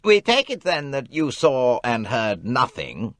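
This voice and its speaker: Man doing a curt middle-aged official, impatient, swooping pitch, dry sound. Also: big pitch swings, moderate pace, a bit bright, dry recording.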